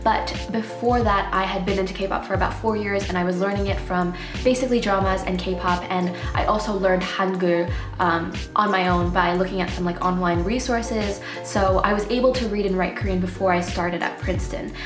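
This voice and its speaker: A woman's voice: medium pitch, steady tone, moderate pace, echoey room, over music. A young woman whose first language is Nepali, giving casual tips in a chatty vlog full of fillers. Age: young adult. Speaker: vlogger.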